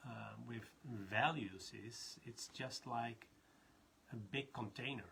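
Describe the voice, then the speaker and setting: Dutch man, bright voice, rambling talk. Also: mid-range voice, takes it slowly, slight background noise. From Netherlands. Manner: rambling, many restarts and laughs. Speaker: leadership trainer. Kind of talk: casual live talk.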